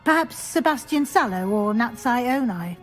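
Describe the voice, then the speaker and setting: Actress as brisk lady professor at wizard school, highish voice, formal, game cutscene w music behind. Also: animated, close to an everyday voice. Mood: brisk, probing. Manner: formal, crisp, matter-of-fact. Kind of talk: scripted video game acting.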